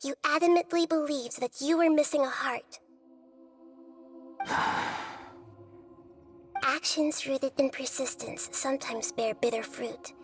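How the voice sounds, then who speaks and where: A young game goddess of wisdom with a high, gentle voice. She explains things in a measured, candid way and sounds solemn. Music plays faintly behind her. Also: steady tone. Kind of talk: English video game voice acting.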